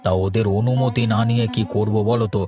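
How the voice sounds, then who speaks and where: A man voicing a grave, deliberate village headman in a cartoon, speaking in a measured, pondering way. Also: lowish voice, fairly even intonation, lightly acted. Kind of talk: Bengali cartoon dubbing. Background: plucked string music.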